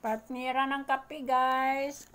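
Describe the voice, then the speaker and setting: Filipina lady middle aged, slow n kinda high voice, eating and chatting in short bits on her phone. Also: level tone, a bit bright. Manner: short phrases while eating, repetitive. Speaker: vlogger. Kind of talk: casual mukbang chat. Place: small indoor room at home. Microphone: phone at arm's length.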